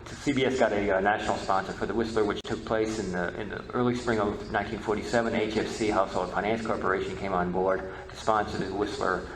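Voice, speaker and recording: Low-voiced man lecturing in an echoey hall. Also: steady tone, crowd noise. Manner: halting, repeats words. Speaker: presenter and media researcher. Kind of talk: informal history lecture. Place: reverberant presentation hall. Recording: echoey hall, faint background noise.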